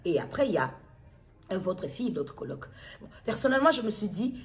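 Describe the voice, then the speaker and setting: A middle-aged woman with a Congolese accent from Kinshasa gives an unscripted personal account as a mother, speaking haltingly and repeating and restating her phrases. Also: medium pitch, very animated. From Democratic Republic of the Congo. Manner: halting, repetitive, circles back to restate phrases. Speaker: mother making a public appeal video. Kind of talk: personal testimony to camera, unscripted recounting.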